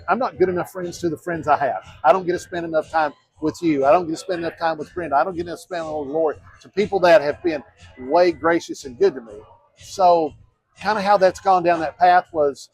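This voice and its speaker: A man's voice: high-pitched, lively intonation, a little fast, gravelly, breathy edge, poor audio. A man, talking earnestly in a casual interview, rambling and restarting as he goes. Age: 50s. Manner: earnest, rambling with frequent restarts and quoted asides. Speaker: mayoral candidate.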